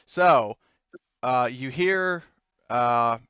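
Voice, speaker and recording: A man's voice: medium pitch, very slow, fairly bright tone, clean tone, dry recording. A man acting as game master, casually narrating a tabletop game in informal language over lo-fi audio.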